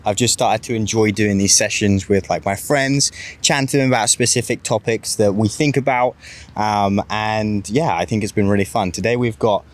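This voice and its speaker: A man's voice: lowish voice, moderate pace. A young man from the United Kingdom, hosting a casual podcast chat in an easygoing, rambling way. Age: young adult. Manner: easygoing, rambling, laughs often. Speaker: podcast host.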